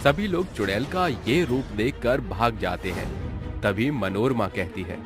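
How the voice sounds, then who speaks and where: A man's voice: mid-range voice, lively intonation, unhurried, over music. A man narrating a scripted cartoon story in a storyteller's role.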